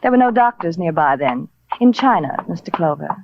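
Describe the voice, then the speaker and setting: A woman's voice: fairly low pitch, big pitch swings, fairly quick. An actress playing a chatty, self-assured, eccentric lady professor in a breezy old-time radio drama style. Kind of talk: 1940s-50s radio drama acting. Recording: muffled, noisy old radio recording.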